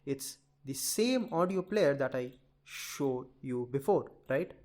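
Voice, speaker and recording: A man's voice: mid-range voice, expressive, slow. A man giving an informal screen-share coding tutorial, rambling and chatty as he talks straight to his viewers. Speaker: programming tutorial presenter.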